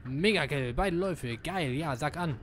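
A man giving casual live gameplay commentary, excitable and slangy, dropping in English gamer words. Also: on the high side, unhurried. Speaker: let's player.

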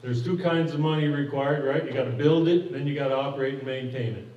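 A man's voice: mid-range voice, fast. An older man speaking as a project manager at a public meeting, folksy and plainspoken, often restarting his phrases. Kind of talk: informal public presentation with audience questions. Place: stage of a hall with curtains. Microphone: podium mic, at a distance. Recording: echoey hall, mic at a distance, dull sound.

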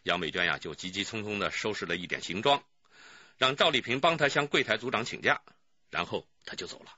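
An actor playing a seasoned, good-humoured police detective in a Chinese radio drama, with a husky voice. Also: male voice, on the low side, expressive, brisk, pushed and bright, no room sound, highs cut off, heavily acted. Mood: reporting.

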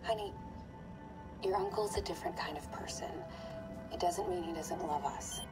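Actress as a soothing, lower-voiced mother. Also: moderate pace, music in the background, close to an everyday voice. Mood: consoling. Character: gentle, patient mother consoling her child. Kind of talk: game drama dialogue.

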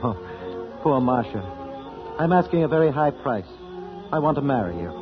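A male actor plays a suave, solemn music teacher in love in a vintage radio drama. He speaks in a measured, tender way at a middling pitch. The old recording is dull, with clear music behind. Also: animated, lightly acted. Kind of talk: 1940s radio drama acting.

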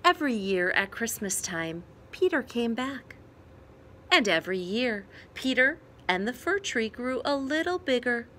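Slow, very expressive woman reading a storybook. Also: on the high side, slight background noise. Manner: expressive, dramatic pauses and emphasis. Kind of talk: children's picture book read-aloud.

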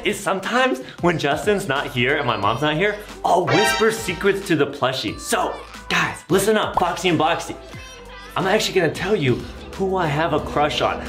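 A man in his twenties giving playful gaming commentary for children with exaggerated, theatrical reactions. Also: a little high. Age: 20s. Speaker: gaming video host. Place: small indoor room. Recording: roomy sound with background music. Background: music.

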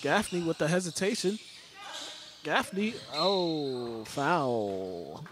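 A man's voice: a little high, lively intonation, takes it slowly. A man with a slightly raised voice calls a summer-league game in a loose, chatty way, repeating players' names and restarting his phrases. He is recorded inside a large school gym. Faint gym noise and bouncing balls run underneath. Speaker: play-by-play commentator. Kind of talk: casual sports commentary. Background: faint gym ambience and ball bounces.

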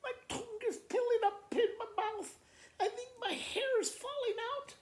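A man's voice: extremely high pitch, moderate pace, pitched above the performer's own voice. A man voicing a melodramatic little girl who is faking sickness, whiny and self-pitying as she lists complaints. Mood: feeble, tearful. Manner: whiny, breathless listing of complaints. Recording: roomy indoor echo.

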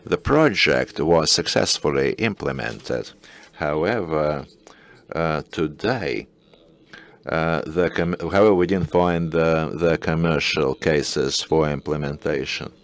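A man giving simultaneous interpretation at a panel, hesitant, with filled pauses and restarts. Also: extremely low pitch, gravelly. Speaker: conference interpreter.

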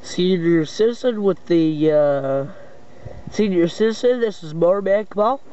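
A man with a high voice calls out on CB radio in short, halting phrases. The sound is dull, with clear static and clicks behind him. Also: animated. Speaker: CB radio operator, video maker. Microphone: camera mic beside a CB radio set.

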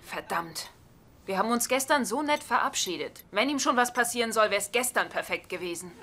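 Sitcom wife: exasperated, sardonic, bright forceful voice on the higher side. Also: female voice, expressive, fairly quick. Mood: guilty, agitated. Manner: scolding, sardonic. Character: exasperated, sharp-tongued wife and daughter in a sitcom. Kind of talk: German-dubbed sitcom acting.

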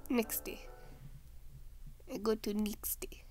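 A woman's voice: a little high, steady tone, slow pace, a little mellow, whispered, soft background music. A young adult woman giving casual let's-play commentary, chatting and muttering asides to herself. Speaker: gaming video creator.

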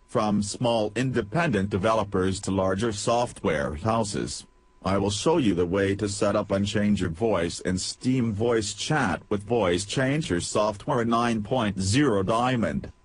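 A man narrating a software tutorial step by step, reading from a script in a stilted way. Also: on the low side, a little slow, slightly rough.

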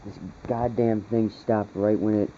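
A man's voice: fairly low pitch, little pitch movement, unhurried, warm tone. A man giving casual, off-the-cuff commentary to his viewers in an irritated way, swearing freely, recorded indoors on a handheld camera. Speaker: hobbyist video maker recording weather radio alerts. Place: dark room indoors at a computer. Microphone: handheld camera, speaker behind it. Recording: muffled lo-fi camera audio with noise.

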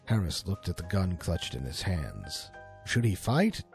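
A man's voice: deep voice, very animated, unhurried, creaky, a little mellow, soft background music. A man telling a scripted story as a dramatic, suspenseful, theatrical narrator.

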